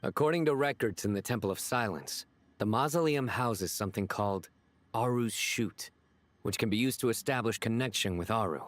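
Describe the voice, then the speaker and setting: A man's voice: on the low side, normal speed, lightly acted. A man playing a serious, matter-of-fact scholar in a video game. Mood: serious, informative.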